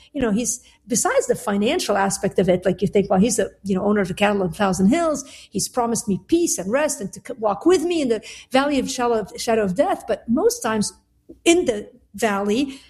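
A woman's voice: a little high, darkish tone, breathy edge. A woman from Lebanon, a guest author on a radio talk show, speaking in long, earnest, reflective thoughts.

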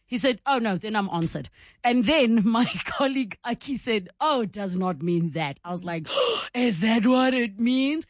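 A woman's voice: a little high, lively intonation, normal speed, no room sound. A woman, hosting a radio chat, chatty and laughing often as she asks her guests probing questions. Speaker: radio host. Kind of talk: casual on-air chat.

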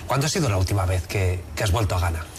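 A man's voice: low voice, lively intonation, very fast, noisy background. A man hosting an interview in a conversational way, asking questions and responding to his guest. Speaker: interview host.

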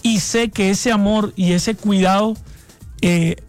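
Guest: costeño man in his thirties, high-pitched, halting speech, faint music underneath. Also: steady tone. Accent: Barranquilla costeño. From Colombia, raised in Barranquilla. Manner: hesitant, repeats words while searching. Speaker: guest singer. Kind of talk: radio interview.

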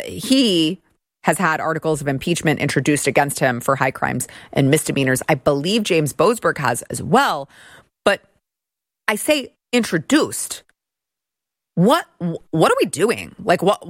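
A woman's voice: low-pitched, a bit bright, dry recording, studio quality. A woman hosting a political commentary show, talking in a sarcastic, mocking way. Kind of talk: opinion commentary monologue.

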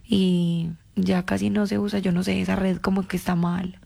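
A woman's voice: mid-range voice, little pitch movement, normal speed, gravelly, mellow. A woman from Colombia with a Paisa accent, chatting casually on a phone call in a coaxing, playfully evasive, insistent way. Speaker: phone caller.

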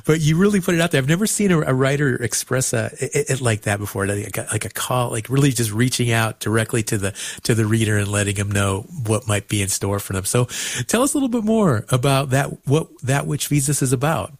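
A man's voice: mid-range voice, a little fast, hardly any pauses, dry recording, studio quality. A man hosting a radio call-in show, interviewing guests in a friendly, chatty way with frequent restarts.

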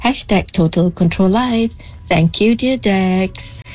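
A woman's voice: medium pitch, slow pace, a little mellow, soft background music. A woman sends a polite voice note to a radio DJ, reading out names one by one. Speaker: listener sending a voice note.

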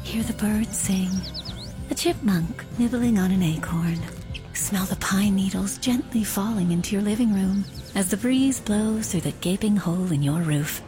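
A woman playing a soothing guided meditation leader in an advert, soft and breathy. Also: medium pitch, normal speed, over music, lightly acted. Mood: serene, soothing. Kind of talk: parody meditation ad narration.

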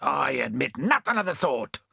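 A man voicing Bill, a gruff, indignant koala sailor. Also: medium pitch, big pitch swings, moderate pace, pushed and bright, dry recording, studio quality, pitched above the performer's own voice, full character voice.

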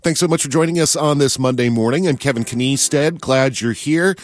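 A man's voice: mid-range voice, brisk, no room sound, clean studio sound, faint music behind. A man with an American accent presenting as a local radio host.

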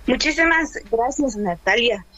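A woman whose first language is Triqui Alta, a guest on a radio show speaking in a measured, explanatory way over the phone. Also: a little high, expressive, bright, forceful tone, highs cut off. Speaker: radio guest from a sister station. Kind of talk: phone-in radio talk and spoken message. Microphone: telephone.

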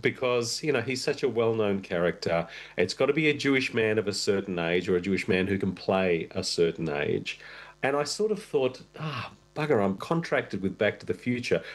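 Deep-voiced middle-aged man, chatty and candid. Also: expressive, moderate pace. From Russia. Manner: chatty, candid, self-deprecating asides. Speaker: actor and producer, guest. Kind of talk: conversational interview.

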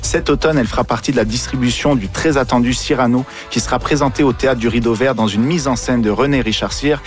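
A man hosts a radio interview with a middling pitch. He introduces and questions his guest conversationally, with faint music behind him. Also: fast.

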